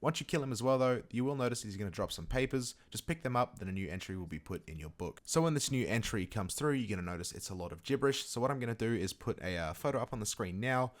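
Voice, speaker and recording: A man's voice: medium pitch, lively intonation, fast talker, hardly any pauses. A man narrating a video game tutorial in a casual, chatty way, with emphatic, informal asides. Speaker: gaming video creator presenting a guide.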